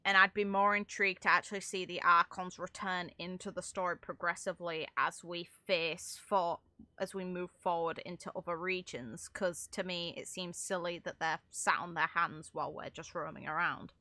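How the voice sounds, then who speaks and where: A woman's voice: fairly low pitch, fairly even intonation, normal speed, bright, forceful tone, no room sound. A woman talking casually and analytically through game lore, with opinionated, rambling asides. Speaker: content creator commentating on a game.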